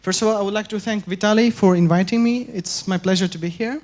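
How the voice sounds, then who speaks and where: Young man from Ukraine, hesitant academic presenter, warm darker voice. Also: sits high, fairly even intonation. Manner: halting, many filler pauses and restarts. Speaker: conference speaker. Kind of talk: academic conference talk.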